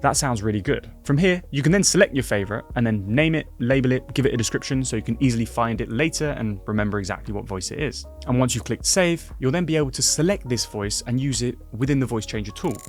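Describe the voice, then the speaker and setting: A male tutorial host who talks quickly, at a medium pitch. He explains each step clearly over faint background music. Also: animated. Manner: brisk, clear, instructive. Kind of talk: scripted tutorial narration.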